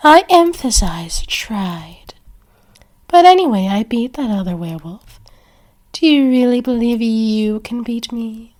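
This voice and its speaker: Woman playing a slow-talking, possessive vampire lady. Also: fairly high pitch, animated, darkish tone. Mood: possessive, menacing. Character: possessive, haughty yandere vampire woman, flirty and threatening. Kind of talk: ASMR roleplay acting.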